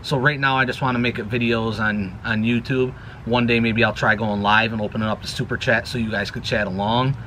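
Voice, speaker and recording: Bright-voiced man rambling casually outdoors. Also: mid-range voice, fairly even intonation, brisk, slight background noise. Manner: rambling, self-correcting, chatty. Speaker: YouTube vlogger. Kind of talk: casual channel update. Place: outdoors near a woodpile. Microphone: action camera.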